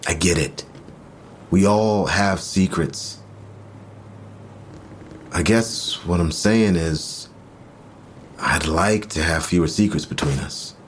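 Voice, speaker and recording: Earnest boyfriend in audio drama, really low voice, kinda hesitant, noisy rumbly background. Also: male voice. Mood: earnest, confiding. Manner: halting, earnest. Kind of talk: naturalistic audio drama dialogue.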